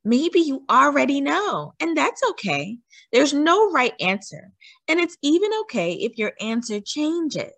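A woman with a husky voice reading a children's picture book aloud expressively, putting questions to young listeners. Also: high voice, sing-song, a little slow, fairly bright tone, no echo. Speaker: read-aloud storyteller for children.

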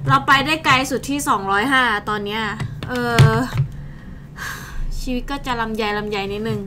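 A young woman giving casual, excitable gameplay commentary, full of exclamations and muttered reactions as she plays. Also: a little high, normal speed, over music. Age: young adult. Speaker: gaming show host.

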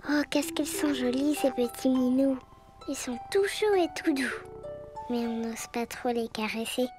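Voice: sits high, measured pace, music in the background. A performer voicing an eager, tender little boy bear cub in a preschool cartoon, with simple childlike exclamations. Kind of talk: French preschool cartoon acting.

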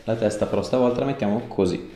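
A man's voice: lowish voice, fast talker, a crowd in the background. A man in his thirties, a chiropractor, talking a patient through a hands-on session in an informal, reassuring way. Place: small treatment room indoors. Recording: very echoey small room, faint noise.

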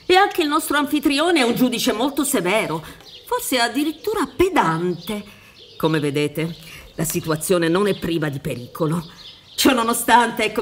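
A woman's voice: medium pitch, slight background noise, lightly acted. An actress playing a genial, crafty woman defence lawyer in a radio drama, coaxing and emphatic as she cautions a client. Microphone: close studio mic on stand. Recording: music playing behind the voice.

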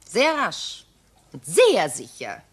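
An actress playing a shaken, wistful ageing widow in a radio crime drama, with halting, pause-filled delivery. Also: female voice, mid-range voice, huge pitch swings, husky, full character voice. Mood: agitated recounting.

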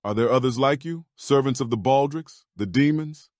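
A man's voice: medium pitch, normal speed, a little mellow, dry recording, studio quality, lightly acted. A man playing a firm, decisive army colonel in an audiobook drama, giving orders with authority. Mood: questioning, firm.

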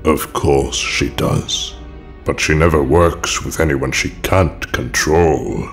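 A sinister old dark lord, super low voice, unhurried n formal, menacing, audio drama. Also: male voice, fairly even intonation, full character voice. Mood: probing, condescending. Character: elegant, sinister older male dark lord, coldly persuasive. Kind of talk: fan audio drama acting. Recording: music behind the voice.

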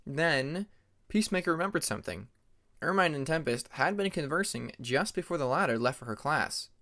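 A man's voice: a little high, expressive, moderate pace, bright, forceful tone. A man narrating scripted fantasy fiction as an audiobook reader.

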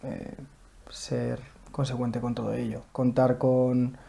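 A man's voice: mid-range voice, little pitch movement, takes it slowly, vocal fry, dark tone, breathy edge. A man in his thirties from Madrid talking about his relationship in a home interview, hesitant with many restarts. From Madrid, Spain. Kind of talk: relaxed personal account. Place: living room at home, indoors.

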